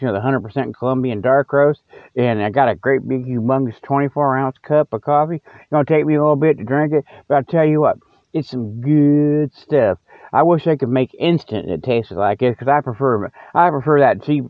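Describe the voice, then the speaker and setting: Folksy higher-voiced man, dull dry recording. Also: no echo. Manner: folksy, meandering, repeats himself. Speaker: host of a comic report. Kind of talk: rambling, casual mock news monologue.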